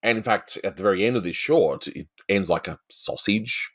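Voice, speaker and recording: A man's voice: on the low side, normal speed, fairly bright tone. A man with an Australian accent giving casual, rambling, chatty commentary as the host of a review show. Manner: rambling, chatty, peppered with "you know".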